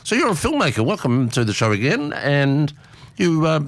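Brief: male radio host, animated pitch, dry close mic, chatty and quick to react. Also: no echo. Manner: chatty, interjects often with reactions. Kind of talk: casual interview chat.

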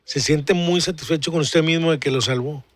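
A man's voice: a little high, fairly quick, no room sound. A man in his forties hosting a radio show, chatting casually in a friendly way, rambling and repeating himself. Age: 40s. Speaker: radio host.

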